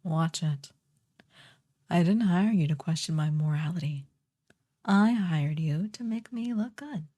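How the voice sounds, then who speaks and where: Girl doing a evil sorceress queen, asmr roleplay, lowish and mellow, super disdainful and sardonic, bossing a servant around. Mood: imperious, mocking. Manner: imperious, theatrically disdainful, drawn-out delivery. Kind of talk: ASMR fantasy roleplay acting.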